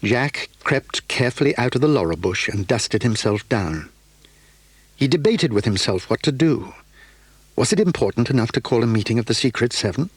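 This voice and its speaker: A man's voice: medium pitch, lively intonation, normal speed, slightly rough. A man reading scripted narration for a children's story as the narrator.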